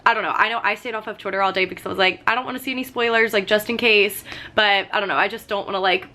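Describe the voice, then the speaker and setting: A woman in her twenties chatting casually while doing her makeup, full of "like" and "um", her voice slightly hoarse from getting sick. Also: medium pitch, fairly even intonation, very fast, sharp tone. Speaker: vlogger. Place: sitting on a fur rug at home.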